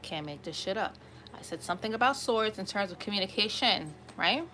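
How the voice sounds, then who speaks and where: A woman with a slightly raspy voice giving an improvised tarot reading to viewers, conversational and often checking in with listeners. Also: medium pitch, expressive, a little fast, bright, forceful tone, soft background music. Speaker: tarot reader hosting an online collective reading.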